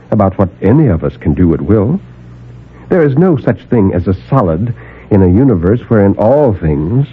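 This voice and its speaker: An actor playing a calm, superior superhuman guardian, speaking with measured, lofty condescension in a 1950s radio drama. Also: male voice, lowish voice, slightly rough. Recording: narrowband old AM radio recording.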